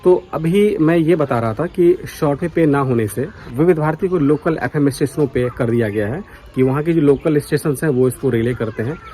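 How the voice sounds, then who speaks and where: A man's voice: on the high side, brisk, dark tone, a little hiss or hum. An Indian man talking casually and earnestly to his viewers as a vlogger, mixing in English words. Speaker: vlogger, radio enthusiast. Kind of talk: casual vlog talk to viewers. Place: outdoors on a foggy morning.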